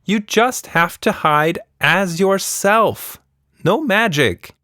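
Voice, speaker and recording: A man plays a young storybook girl with a raised, lively voice. She is earnest and a bit bossy, insistent about how things should be done. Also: sits high, takes it slowly, no room sound, studio quality, pitched above the performer's own voice, exaggerated voice. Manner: insistent, retorting.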